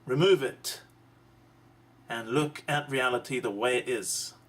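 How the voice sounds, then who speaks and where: A man delivering a deliberate, emphatic monologue of social commentary, pausing between phrases. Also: mid-range voice, a bit bright. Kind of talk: slow rhetorical monologue. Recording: distant mic, clearly noisy background.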